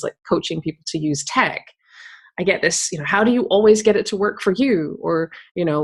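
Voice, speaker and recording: A woman in her thirties from Scotland, her accent softened by years abroad, answering interview questions as a tech coach in a candid, upbeat way, with a ready laugh and lots of "you know". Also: medium pitch. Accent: Scottish, softened by years abroad.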